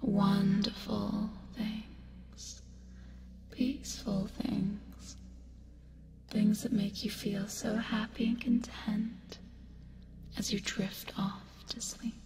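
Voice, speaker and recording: A woman's voice: medium pitch, level tone. A woman playing an eerie yet soothing sleep paralysis demon, whispering breathily and coaxingly in an ASMR hypnosis roleplay. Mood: soothing, possessive. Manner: breathy whispering, slow, coaxing, drawn-out words.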